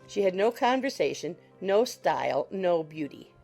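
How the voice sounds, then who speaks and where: Female audiobook narrator, lowish voice, noticeable vocal fry, literary storytelling, soft music behind. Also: lively intonation, normal speed.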